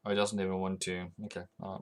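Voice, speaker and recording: A man's voice: low voice, monotone, fast. A man from Norway chatting casually on a trading livestream, rambling and answering viewers' comments as they come in.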